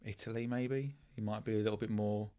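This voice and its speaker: Male co-host, low voice, blunt casual football talk. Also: steady tone, a little fast, dark tone. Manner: blunt, offhand verdicts. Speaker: podcast co-host. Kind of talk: casual sports chat.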